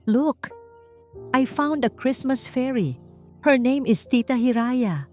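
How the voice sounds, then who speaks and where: A woman with a Filipino accent voicing a bright, polite, curious seven-year-old girl in an audiobook. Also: lively intonation, takes it slowly. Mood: excited. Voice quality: high, shrill child voice. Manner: polite, eager. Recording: music bed under the voice.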